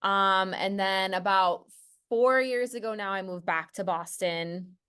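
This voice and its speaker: A woman working as a student success advisor, introducing herself at an online info session in a relaxed, matter-of-fact way with ums. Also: mid-range voice, measured pace, clear, resonant tone.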